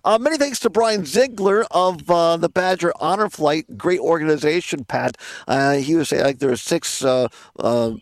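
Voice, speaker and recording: Male radio host, slightly high voice, chatty and jokey, live talk show banter, dry close studio sound. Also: normal speed, no echo.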